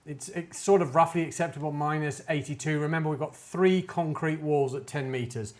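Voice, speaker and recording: A man's voice: on the high side, steady tone, fairly quick, a little mellow. A man giving an informal, hands-on tech demonstration, talking it through hesitantly as he works. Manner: hesitant, thinking aloud while working. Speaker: tech reviewer demonstrating network gear.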